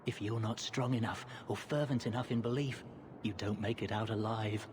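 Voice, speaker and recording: A man's voice: lowish voice, fairly even intonation, normal speed, close to an everyday voice. Uneasy dutiful game knight guy, voiced by an actor, careful, noisy ambience behind him. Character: cautious, dutiful male knight recruit. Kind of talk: video game dialogue acting.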